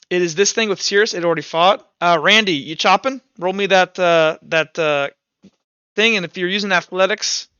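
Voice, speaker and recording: High-voiced man running a tabletop game. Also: bright, forceful tone, clear, resonant tone, no echo, highs cut off. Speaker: game master. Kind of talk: tabletop roleplay narration and casual rules talk.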